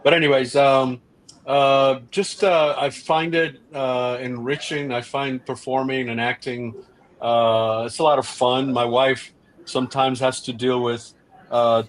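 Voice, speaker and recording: Earnest middle-aged man, animated chat. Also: medium pitch. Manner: earnest, animated, many restarts and fillers. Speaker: podcast guest, restaurant owner and actor. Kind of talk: casual interview chat.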